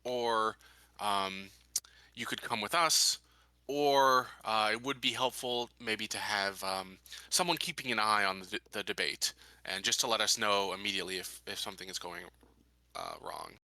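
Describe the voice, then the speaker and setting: Man playing tabletop rpg, really sharp bright voice but on the low side, halting delivery, dry sound. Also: expressive, dry recording. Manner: halting, tentative phrasing. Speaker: player in a roleplaying game. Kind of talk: improvised in-character tabletop play.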